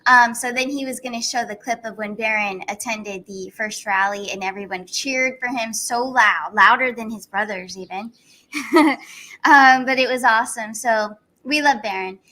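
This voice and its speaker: A woman's voice: fairly high pitch, bright, forceful tone, poor audio. A woman hosting her own channel, talking in a chatty, rambling way with many fillers. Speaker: channel host. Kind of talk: casual commentary.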